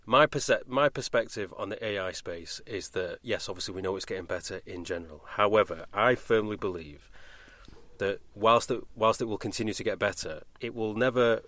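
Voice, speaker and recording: Podcast host guy, slightly deep voice, chatty, keeps cutting into his own sentences, dry audio. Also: fairly quick, fairly bright tone, no echo. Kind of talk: casual podcast chat.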